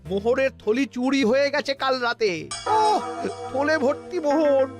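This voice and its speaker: An actor playing a grumbling, sarcastic and cowardly royal minister in a comic cartoon, whining and prone to stammer when scared. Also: male voice, very high voice, expressive, a little slow. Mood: aggrieved. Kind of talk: Bengali comic cartoon acting. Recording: background music.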